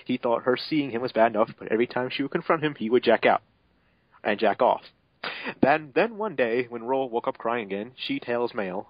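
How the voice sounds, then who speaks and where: Sarcastic male narrator, very animated pitch. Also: fairly quick, no echo, highs cut off. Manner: sarcastic, exasperated, profane. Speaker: narrator and reader. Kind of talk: mocking dramatic reading of fan fiction with asides.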